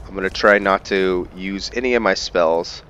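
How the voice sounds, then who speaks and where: A man's voice: deep voice, moderate pace, a bit bright, dull audio, a little hiss or hum. A man doing casual let's play commentary, thinking aloud to his viewers and slipping into roleplay. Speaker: gaming video host.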